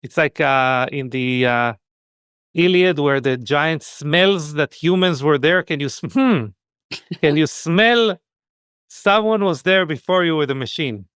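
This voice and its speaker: A man speaking as a podcast guest, thoughtful and halting, often restarting sentences as he searches for his words. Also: on the high side, fairly bright tone, smooth, no room sound, studio quality. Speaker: podcast guest, skincare business expert. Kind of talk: conversational interview.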